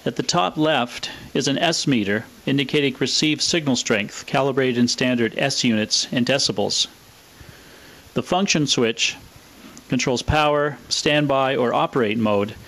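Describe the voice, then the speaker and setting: A man's voice: medium pitch, a bit bright, poor audio. A man presenting in scripted narration, explaining equipment in a methodical, matter-of-fact way. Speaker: presenter of a vintage radio equipment video.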